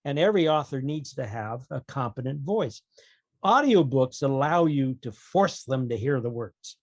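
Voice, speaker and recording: A man's voice: medium pitch, lively intonation, normal speed, darkish tone, no room sound, studio quality. A man talking casually as an author guest on a podcast, explaining his opinions with wry humour.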